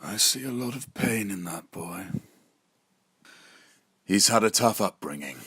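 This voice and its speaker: A man's voice: lowish voice, expressive, measured pace, pushed and bright, breathy edge, no echo, clean studio sound, heavily acted. An actor playing a stern, commanding middle-aged father who leads monster slayers in a drama. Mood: solemn. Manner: commanding, solemn.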